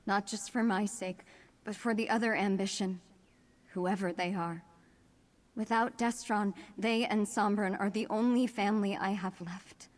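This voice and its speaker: A woman's voice: mid-range voice, steady tone, measured pace, big-room sound, natural voice. An actress playing a vulnerable, grieving young woman in a fantasy video game, sorrowful and earnest. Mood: sad resolve.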